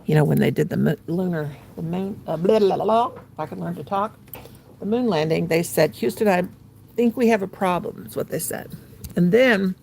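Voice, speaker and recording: A woman's voice: lowish voice, normal speed, vocal fry, darkish tone, slight background noise. An older American woman hosting a casual crochet livestream, playful and silly, laughing and talking to herself as she works. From United States. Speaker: livestream host.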